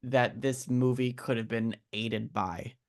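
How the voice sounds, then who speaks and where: A man from the US with a Midwest Chicago accent, co-hosting a film podcast in casual, halting chat. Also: medium pitch, little pitch movement. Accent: Midwest American, Chicago. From USA. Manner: halting, trails off and restarts. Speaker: podcast co-host.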